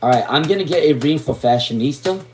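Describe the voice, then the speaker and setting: Raspy chatty man reading tarot. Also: on the high side, expressive, quick pace, darkish tone, a little hiss or hum. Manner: chatty, drifts into asides and sung snatches. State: voice worn from talking all day. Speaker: tarot reader. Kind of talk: live-stream tarot reading.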